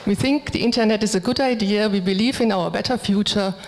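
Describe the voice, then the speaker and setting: Female conference presenter, native German speaker, informal and hesitant, mid-range voice, recorded in a reverberant hall. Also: medium pitch. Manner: halting, hesitant delivery.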